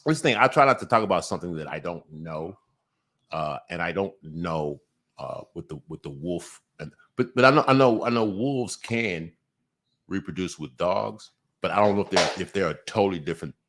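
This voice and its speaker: A man's voice: sits low, animated, normal speed, fairly bright tone. A man talking casually on a podcast, full of restarts and fillers, with sudden emphatic bursts. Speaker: podcast host. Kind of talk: casual conversation.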